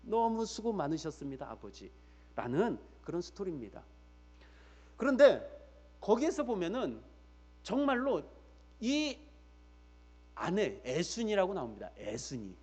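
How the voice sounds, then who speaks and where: A man's voice: high voice, wildly swooping pitch, unhurried, long pauses, a little mellow, husky. A man preaching a church sermon as pastor, emphatically repeating key phrases and putting rhetorical questions straight to his listeners.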